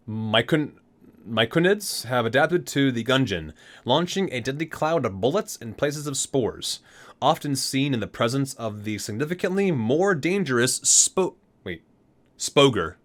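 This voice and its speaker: Man reading aloud with swooping pitch. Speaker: host of a gaming ASMR unboxing video. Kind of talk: ASMR reading aloud with casual asides.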